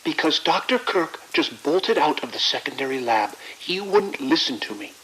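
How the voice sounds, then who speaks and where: A man's voice: a little high, big pitch swings, moderate pace, a bit bright, rough recording. An actor playing a cold, ruthless male project administrator in an audio drama, giving clipped, detached orders. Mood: urgent, insistent. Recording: faint hiss and frying static.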